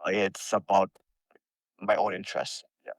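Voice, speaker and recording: Young guy from thailand, low voice, slow careful answers w lots of ums, dry sound. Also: monotone, no room sound, low-quality recording. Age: young adult. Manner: careful, hesitant with many ums. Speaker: guest, college freshman. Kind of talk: interview answers.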